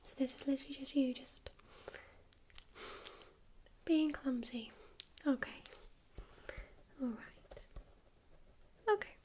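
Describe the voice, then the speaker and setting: A woman whispering softly in a gentle, reassuring ASMR medical roleplay, calmly talking a listener through a check-up step by step. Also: sits high, slow pace, long pauses, a little hiss or hum. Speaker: ASMR creator playing a first-aid medic.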